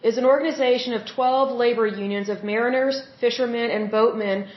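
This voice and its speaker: A woman hosts a solo podcast in a fairly high voice, offering her opinions freely and with loose fillers. Her voice is wearing thin and rough. The recording sounds echoey and a touch muffled. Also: steady tone, a little fast. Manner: chatty, opinionated, with frequent fillers. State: losing her voice, coughing. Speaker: podcast host.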